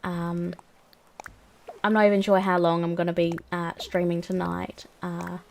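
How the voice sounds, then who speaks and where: A woman's voice: on the low side, flat delivery, a little slow, vocal fry, a little mellow, slight background noise. A young woman, chatting casually on a live stream while she plays a game. She rambles, with lots of ums and likes, and sounds a bit sniffly from a cold. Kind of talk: casual chat while playing a video game.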